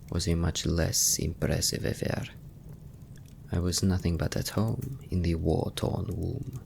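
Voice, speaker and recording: A man playing a brooding young vampire noble in an ASMR fantasy roleplay, hushed and gravelly, with measured, formal phrasing. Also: low-pitched, slight background noise, heavily acted. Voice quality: gravelly, breathy at times. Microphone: close microphone.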